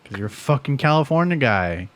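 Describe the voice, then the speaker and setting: A young man from Arizona co-hosting a podcast, chatting casually with dry, deadpan teasing. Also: mid-range voice, fairly quick. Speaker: podcast co-host. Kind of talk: casual chat over beers.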